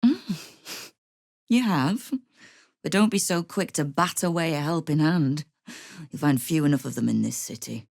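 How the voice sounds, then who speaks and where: Tough female guild boss, low pitch, wry, menacing, commanding, video game dialogue, close dry sound. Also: no echo. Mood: wry, sardonic. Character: tough, sardonic woman crime guild boss.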